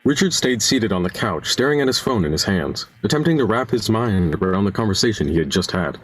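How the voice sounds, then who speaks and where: A man with a husky voice narrating a scripted amateur radio play. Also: lowish voice, lively intonation, brisk, low-quality recording.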